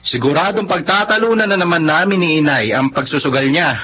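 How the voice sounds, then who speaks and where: A young Filipino working man in a radio drama. His voice sits a little high and sounds earnest. The audio is slightly dull with faint noise. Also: a little fast, sharp tone. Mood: easygoing. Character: frustrated young working man, devoted boyfriend.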